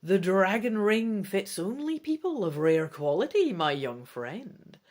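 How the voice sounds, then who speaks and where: A woman's voice: mid-range voice, big pitch swings, measured pace, darkish tone. A woman voicing a mysterious, confident old woman who sells magic wares, in a cryptic, theatrical storybook reading. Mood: mysterious, assured. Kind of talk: dramatic gamebook reading.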